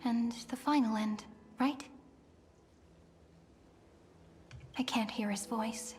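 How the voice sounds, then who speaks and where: A woman voicing a gentle, hopeful young heroine in a story game, speaking softly in a breathy, half-whispered voice. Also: on the high side, unhurried, slight background noise, lightly acted. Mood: wistful. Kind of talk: game dialogue.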